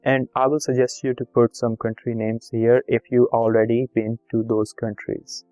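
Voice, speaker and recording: A man whose first language is Urdu, calmly walking viewers through an online form step by step and reading each field aloud. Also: mid-range voice, normal speed, no echo, faint music behind, low-quality recording. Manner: plain instructive, reads form fields aloud, repetitive. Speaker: video tutorial host. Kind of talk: screen-recorded step-by-step walkthrough.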